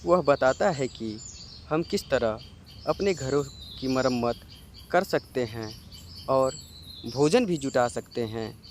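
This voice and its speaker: Slow-paced male storyteller, birdsong behind. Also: mid-range voice, darkish tone, a little hiss or hum. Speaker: narrator. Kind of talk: scripted storytelling narration for a children's cartoon.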